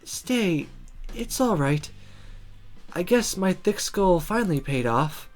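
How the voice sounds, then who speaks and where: A woman voicing an injured young woman in a visual novel, tender and teasing, speaking softly and haltingly. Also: medium pitch, lively intonation, soft background music.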